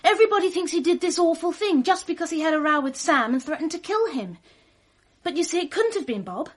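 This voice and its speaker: An actress playing an anxious, protective witness in a radio drama, earnest, defensive and a little faltering. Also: female voice, extremely high pitch, expressive, brisk.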